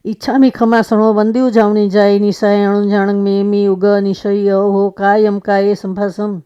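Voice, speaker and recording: Woman in even, muted liturgical recitation. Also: mid-range voice, normal speed, clear, resonant tone. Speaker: leader of a Jain prayer recitation. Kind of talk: liturgical recitation of memorized prayers. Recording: slightly dull, muffled recording.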